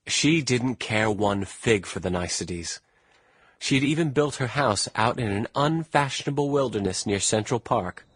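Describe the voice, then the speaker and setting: An actor playing a genteel, wistful young New York gentleman in an audiobook drama, speaking in a measured, formal and refined way. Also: on the low side, dry recording. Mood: wry, reflective. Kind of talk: audiobook drama narration and dialogue. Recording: music behind the voice.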